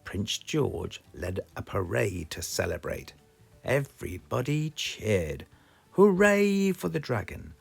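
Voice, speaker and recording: A man with a British accent reading a children's storybook aloud in a slow, expressive, sing-song way. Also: on the low side, vocal fry, breathy edge, faint music behind. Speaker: storybook reader.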